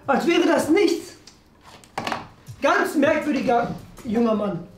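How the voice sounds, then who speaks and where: A woman's voice: sits high, expressive, slow, noisy background. A woman playing police officer, giving mock-serious improvised commentary in a small office. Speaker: player acting as a police officer. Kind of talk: playful improvised live commentary. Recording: roomy, distant mic, music underneath.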